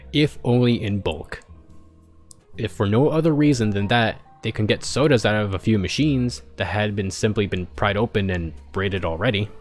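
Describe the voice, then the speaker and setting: A man reading fan fiction aloud in an expressive amateur style, sometimes stumbling and repeating phrases. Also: medium pitch. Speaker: reader of fan fiction, channel host. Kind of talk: expressive amateur story reading with casual asides.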